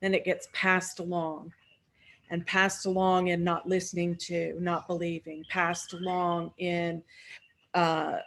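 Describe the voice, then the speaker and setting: A woman speaking reflectively as a panelist, measured and thoughtful, pausing in the middle of phrases. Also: fairly low pitch, level tone, fairly bright tone.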